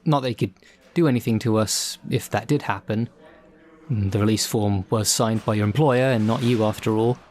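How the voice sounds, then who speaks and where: A man's voice: lowish voice, normal speed, darkish tone, lightly acted. Man acting a chatty, apologetic supervillain. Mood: apologetic, polite. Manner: hesitant, rambling, apologetic. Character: chatty, self-deprecating male supervillain-for-hire, weary but polite. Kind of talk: audio roleplay, conversational in-character monologue.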